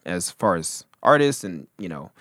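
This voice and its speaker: A man's voice: deep voice, very animated, moderate pace, fairly bright tone, no echo, studio quality. A man co-hosting a casual podcast, rambling with lots of fillers and frequent laughter. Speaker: podcast co-host. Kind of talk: casual chat about hip hop.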